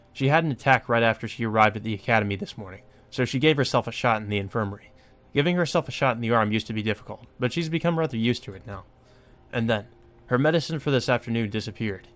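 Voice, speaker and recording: A man reading visual novel narration aloud and adding casual, informal asides, sometimes with swearing. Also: mid-range voice. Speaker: let's play host. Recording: music behind the voice. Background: game music.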